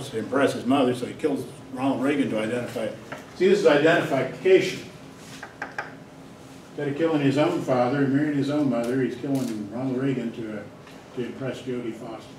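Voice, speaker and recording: Male psych lecturer, chatty and digressive, mid pitch, roomy hall, mic far off. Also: darkish tone. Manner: chatty, digressive, talks directly to students. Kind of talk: informal classroom lecture. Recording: roomy hall, distant mic, faint hiss.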